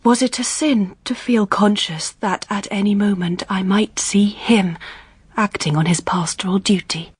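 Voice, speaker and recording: An actress playing an earnest, reserved young English governess in a period drama, speaking in a restrained, formal, principled way. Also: female voice, medium pitch, a little slow, breathy edge, dry recording. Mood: hushed, yearning. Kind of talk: period radio drama acting.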